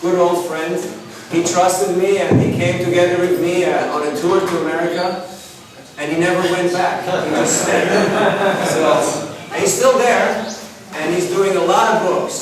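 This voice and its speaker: A man's voice: sits high, steady tone, a little slow, a loud crowd. A man leading an informal seminar in a conversational, encouraging manner. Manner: encouraging, conversational, acts out short dialogues. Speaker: course leader, Hare Krishna teacher. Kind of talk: informal seminar teaching to a group. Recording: echoey room, distant mic, noisy.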